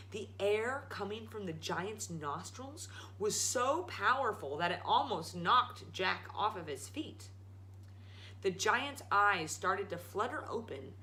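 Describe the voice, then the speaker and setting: A woman storyteller reading a children's story aloud in a dramatic, emphatic, expressive way. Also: mid-range voice, a little hiss or hum, poor audio. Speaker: storyteller, show host.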